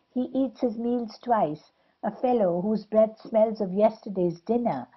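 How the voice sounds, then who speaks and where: A mocking period-comedy wit played by a woman declaims insults with scorn. The voice is husky and mid-pitched. The recording is slightly dull and lo-fi. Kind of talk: Restoration comedy audio play acting.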